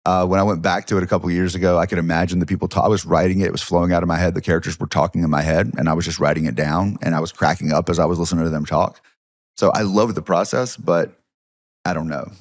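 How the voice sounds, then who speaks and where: A man's voice: sits low, steady tone, quick pace, no room sound. A middle-aged man with a Southern US accent, chatting as a podcast guest, telling self-deprecating stories with lots of restarts. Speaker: guest, history professor and comedian. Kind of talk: casual podcast chat.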